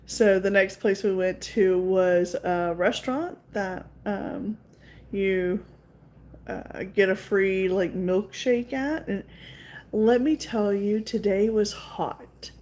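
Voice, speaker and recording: A woman's voice: medium pitch, steady tone, takes it slowly, a little mellow. A woman with a Southern accent, casually chatting with her viewers on a livestream in a rambling way, full of fillers. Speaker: livestream host.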